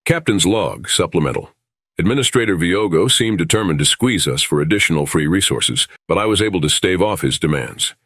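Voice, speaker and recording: A man acting in an audio drama as a calm, principled starship captain, measured and authoritative, with rumble behind him. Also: slightly rough, no echo, studio quality, heavily acted. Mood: composed, formal. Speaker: lead actor playing a starship captain.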